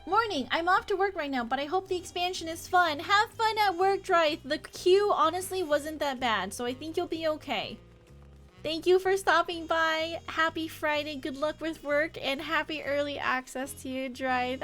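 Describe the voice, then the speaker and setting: A chatty, expressive woman livestreaming a game in a casual, rambling way and talking to her viewers. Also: sits high, normal speed, faint music behind. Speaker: live game streamer. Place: indoor home office.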